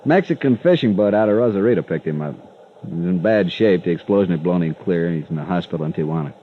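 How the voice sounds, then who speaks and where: An actor playing a helpful, matter-of-fact harbor official in a 1950s radio drama, talking in terse, plain phrases. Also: male voice, sits low, expressive, fast. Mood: grim, matter-of-fact. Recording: muffled, slightly noisy vintage radio.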